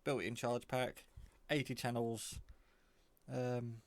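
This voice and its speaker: A man giving a casual, off-the-cuff product demo, rambling in a low-key way as he thinks aloud. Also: level tone, takes it slowly, dry recording. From England. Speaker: hobbyist reviewer.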